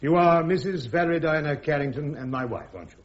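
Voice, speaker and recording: Actor as sardonic British army major, animated. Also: male voice, fairly high pitch, fairly quick, natural voice. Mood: pressing, urgent. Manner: sardonic, clipped, insistent. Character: defiant army major defending himself at court-martial. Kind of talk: radio courtroom drama acting. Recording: dull radio drama recording.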